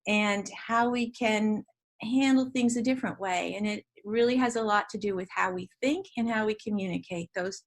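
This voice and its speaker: Earnest older woman, Dutch native speaker. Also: medium pitch. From Ontario, Canada. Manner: earnest, reflective, with frequent restarts and pauses. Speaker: guest educator. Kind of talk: interview.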